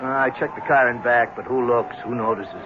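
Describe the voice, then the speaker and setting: A man's voice: mid-range voice, steady tone, brisk, close to an everyday voice. An actor playing a breezy, sardonic patrolman in a 1970s radio mystery, speaking in a teasing, offhand way. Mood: offhand, unbothered. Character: breezy, sardonic male patrolman, casually indifferent. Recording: tinny, noisy old radio broadcast.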